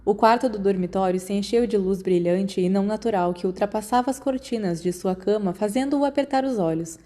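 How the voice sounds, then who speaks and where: A woman's voice: mid-range voice, brisk, dark tone. A woman with a Brazilian accent reading fiction aloud in a scripted storytelling narration. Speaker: audiobook reader.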